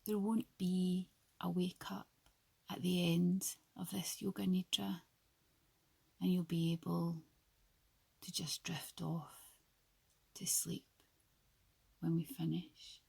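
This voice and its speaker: A woman guiding a sleep meditation in a soft, breathy, soothing and hushed voice, leaving long pauses between short phrases. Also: fairly low pitch, little pitch movement, no echo. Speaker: yoga nidra guide. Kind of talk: slow guided sleep meditation.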